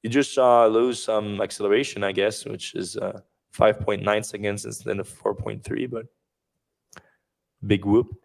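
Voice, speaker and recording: A man hosting a casual news podcast, rambling with many fillers and restarts, his voice going hoarse. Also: on the low side, steady tone, a little slow. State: losing his voice. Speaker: podcast host.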